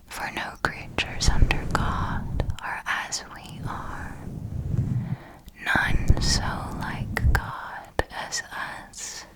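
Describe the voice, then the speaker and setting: Woman acting out female vampire mentor, hushed whisper, extremely unhurried, deliberate formal phrasing, coaxing, serenely cold, ASMR roleplay. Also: mid-range voice, rough recording. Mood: solemn, seductive. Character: ancient female vampire mentor, serenely ruthless, darkly tender.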